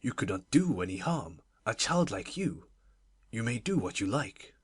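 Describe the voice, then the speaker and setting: A husky, low, weary gentleman. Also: big pitch swings, normal speed, dry recording, studio quality, exaggerated voice. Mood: worried, muttering. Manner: fretful, muttering. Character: weary, miserable, absent-minded middle-aged gentleman guardian.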